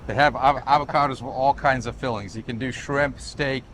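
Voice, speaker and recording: A man's voice: mid-range voice, fairly even intonation, moderate pace, fairly bright tone. A man from Chile giving a casual, emphatic property tour as the developer, often repeating and restarting his phrases. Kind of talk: casual walk-through sales pitch. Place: walking outdoors on a city street and at a building site. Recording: outdoor street noise with traffic.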